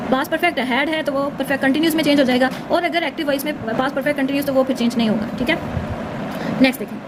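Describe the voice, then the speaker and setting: A woman with a very high voice teaches at a quick pace, explaining step by step in an informal tutorial manner. She keeps adding short check-in tags, and clear background noise runs behind her. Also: fairly even intonation. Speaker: English grammar teacher.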